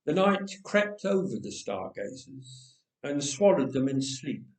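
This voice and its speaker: A man telling a story as an expressive narrator, with measured, dramatic phrasing. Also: mid-range voice. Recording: roomy echoing voice recording.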